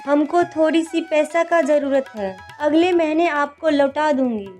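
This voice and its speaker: Polite neighbour lady in a cartoon, really high voice, agreeable, music behind. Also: unhurried, a little mellow. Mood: polite, requesting. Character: polite, good-natured village neighbour woman. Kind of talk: animated moral story dialogue.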